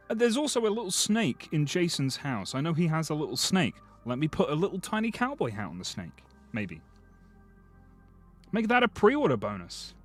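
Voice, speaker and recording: A man with a slightly hoarse voice, rambling and joking freely as he chats through a livestream. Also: on the high side. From Britain. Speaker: streamer. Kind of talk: casual livestream commentary.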